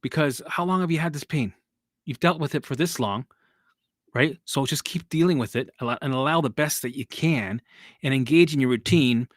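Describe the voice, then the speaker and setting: A man's voice: medium pitch, normal speed, no echo, studio quality. A man hosting a casual live stream as a recovery coach. He rambles and repeats himself, swears casually and laughs at himself as he answers viewers.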